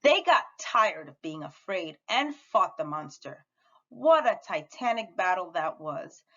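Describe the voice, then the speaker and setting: A woman reading a children's story aloud with dramatic, deliberate expression. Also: lowish voice, very animated, a bit bright, dull audio. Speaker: storybook reader at a school read-aloud.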